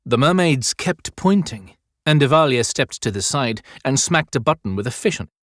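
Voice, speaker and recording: A man's voice: mid-range voice, expressive, normal speed, a bit bright, dry recording, studio quality. A man narrating a scripted adventure story as an audiobook narrator.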